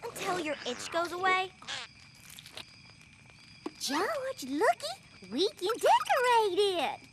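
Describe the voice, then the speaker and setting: Very high, fussy, well-meaning cartoon friend. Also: female voice, sing-song, slow, background noise, heavily acted. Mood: coaxing. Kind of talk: animated cartoon voice acting. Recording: loud music behind the voice.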